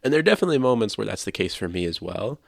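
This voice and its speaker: A man co-hosting a casual video game discussion, offering criticism in a measured, qualified way close to the mic. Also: fairly low pitch, sing-song, creaky, no echo. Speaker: podcast co-host.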